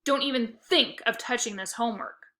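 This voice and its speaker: A woman's voice: a little high, normal speed, sharp tone. Woman doing a snappy, bossy big sister. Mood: snappish.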